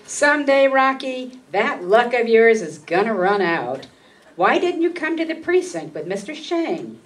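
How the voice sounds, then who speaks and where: A woman's voice: on the high side, big pitch swings, a little slow, soft background music. A woman playing a weary, scolding police sergeant in a 1950s radio noir drama. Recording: distant handheld mic in a hall.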